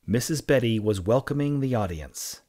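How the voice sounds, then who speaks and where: A man reading a children's story aloud with expressive, deliberate and dramatic phrasing. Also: medium pitch. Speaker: storybook reader.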